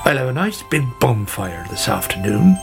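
A man's voice: medium pitch, sing-song, normal speed, gravelly, over music. A man voicing a grumbling, self-satisfied old grandfather who muses aloud in a children's story. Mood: pleased, surprised. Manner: exclamatory, musing aloud, opinionated.

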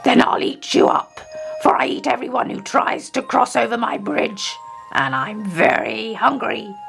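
A woman voicing a hideous, hungry old troll in a children's story, with a gravelly, slow, threatening growl. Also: fairly high pitch, animated, fairly bright tone, faint music behind, heavily acted. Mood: menacing, hungry. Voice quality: gravelly, creaky roar. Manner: slow, drawn-out threatening delivery.

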